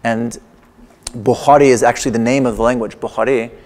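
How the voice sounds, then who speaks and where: A man's voice: mid-range voice, steady tone, brisk, a little mellow. A man whose first language is Persian gives a wry, digressive lecture performance in a large museum exhibition hall. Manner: wry, digressive, with playful humor. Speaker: artist giving a lecture performance. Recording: long echoing hall reverb.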